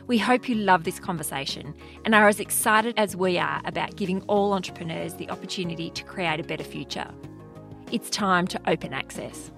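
A woman's voice: on the low side, vocal fry, fairly bright tone. A woman hosting an interview podcast in a polished, presenter style, introducing and questioning guests.

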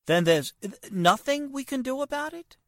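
A woman's voice: high voice, animated, moderate pace, no room sound, clean studio sound. A woman playing an anxious, earnest client in an old-time radio drama, speaking in a hesitant stammer. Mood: distressed, pleading. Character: anxious, hesitant client fearing an uncle is swindled.

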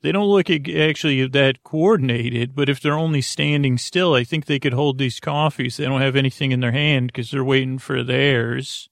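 Male sleep-podcast host, gravelly, meandering and halting, dry close sound. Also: dry recording. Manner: meandering, halting, lots of fillers and restarts; low-key, drowsy delivery. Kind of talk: rambling sleep podcast intro.

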